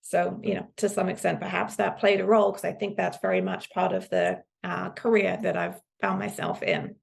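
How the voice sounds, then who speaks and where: A woman's voice: fairly low pitch, expressive, moderate pace, gravelly. A middle-aged woman, answering conversationally as a podcast guest. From UK, countryside in Suffolk, England. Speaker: podcast guest, business executive.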